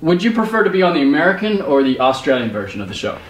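A man's voice: a little high, sing-song, rapid-fire. Young man, hesitant, in a roomy space. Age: young adult. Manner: hesitant, repeats phrases. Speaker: prank caller posing as a TV producer. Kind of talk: improvised prank phone call. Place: small bedroom, indoors. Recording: roomy, slightly distant microphone.

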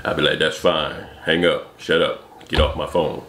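A man in his forties talking casually to the camera about his new vlog, with a deep voice and an easygoing, jokey manner. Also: normal speed. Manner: relaxed, easygoing, jokey asides. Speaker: vlogger. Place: room at home, indoors. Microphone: external mic on top of the camera. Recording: echoey room with background noise.

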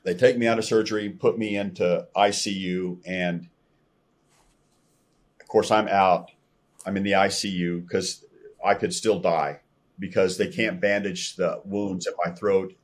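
An older American man around sixty, telling his personal testimony as a podcast guest in an earnest, halting way over a video call from a room at home. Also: low-pitched, steady tone. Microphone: video call, computer microphone at desk distance.

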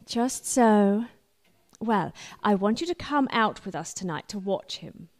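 An actress playing a bitter, blunt, disillusioned wife in a radio play, cool and cutting. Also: female voice, medium pitch, lively intonation, measured pace, close to an everyday voice. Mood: scornful.

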